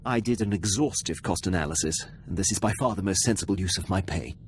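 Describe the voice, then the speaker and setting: A man's voice: low-pitched, fast, slight background noise, close to an everyday voice. An actor playing a devoted male military officer on a starship in a video game, speaking in a formal, precise, earnest way. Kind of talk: scripted video game dialogue.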